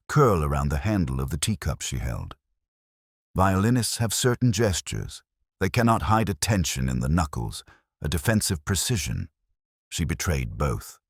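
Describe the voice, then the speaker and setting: A middle-aged man narrating a first-person detective story in a measured, reflective, literary manner. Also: very deep voice, husky, no room sound, clean studio sound.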